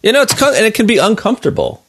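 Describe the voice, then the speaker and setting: A man's voice: sits high, wildly swooping pitch, very fast, a bit bright, slight background noise. A man chatting casually as an interviewer, reflective and agreeable. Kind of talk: casual chat.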